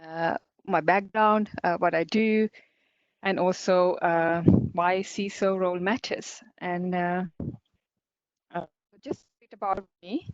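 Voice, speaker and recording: A chatty woman in her forties with a Sri Lankan accent gives a conference talk over a video call. Her voice sits fairly low for a woman and sounds close and dry. The call audio is dull and lo-fi. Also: fairly even intonation, takes it slowly, clean tone, no room sound. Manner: chatty and upbeat, many fillers and small laughs. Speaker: guest speaker, cybersecurity company director.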